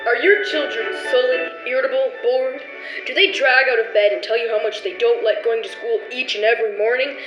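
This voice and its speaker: A woman's voice: a little high, steady tone, a little fast, fairly bright tone, muffled recording. A woman reading a scripted sponsor message in the style of an old radio commercial. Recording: roomy recording over music.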